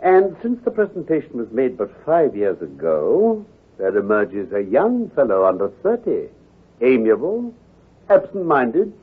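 An actor playing a brisk, confident, teasing gentleman detective with a British accent, precise and patronizing, in an old-time radio drama. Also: mid-range voice, wildly swooping pitch, breathy edge, exaggerated voice. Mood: dryly superior. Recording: muffled, lo-fi vintage radio recording.

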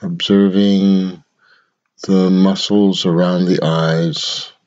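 A man leading a guided meditation in a measured, instructional way, speaking in short phrases with long pauses. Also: sits low, little pitch movement, darkish tone, muffled recording.

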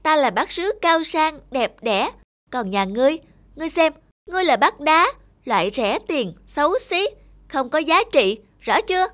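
A woman's voice: high-pitched, sing-song, normal speed, fairly bright tone. A woman voicing a haughty, vain young female porcelain bowl in a cartoon, snooty and dramatic. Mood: scornful. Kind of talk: animated cartoon character acting. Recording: music behind the voice.

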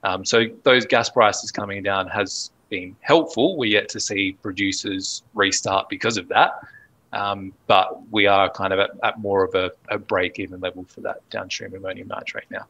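A man in his thirties with an Australian accent, speaking as a guest on a video call and explaining things in a relaxed, steady way. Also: on the low side, normal speed, low-quality recording. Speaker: podcast guest, fertilizer analyst. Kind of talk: casual interview talk. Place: home office on video call.

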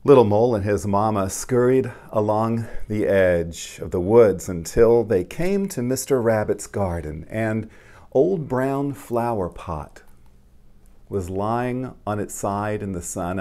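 A middle-aged man reading a children's picture book aloud in a gentle, deliberate manner, explaining as he goes. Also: fairly low pitch, expressive. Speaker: storytime host, pastor. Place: living room, indoors.

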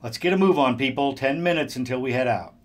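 A man plays a brisk supervisor in an audio drama, giving orders loudly. His voice is mid-pitched and commanding. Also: natural voice. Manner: loud, commanding. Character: brisk, bossy adult trip supervisor.

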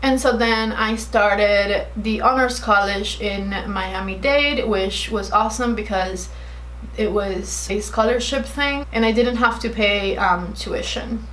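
A woman's voice: mid-range voice, slow, a bit bright, slight background noise, low-quality recording. A woman in her late twenties with a Cuban Spanish accent, chatting to viewers in a get-ready-with-me video while putting on makeup. She is talkative and friendly and explains things at length. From Cuba. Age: 20s. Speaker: beauty vlogger. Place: bright indoor room with shelves. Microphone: camera in front at desk distance.

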